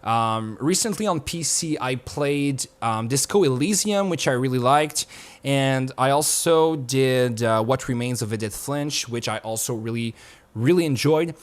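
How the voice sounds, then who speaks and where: The voice is a young man's, at an everyday pitch, talking to camera in his home studio. He sounds relaxed and loose, wanders from point to point and drops the odd swear word. It plays as an unscripted chat with viewers. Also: a little slow. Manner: relaxed and rambling, swears casually. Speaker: YouTuber.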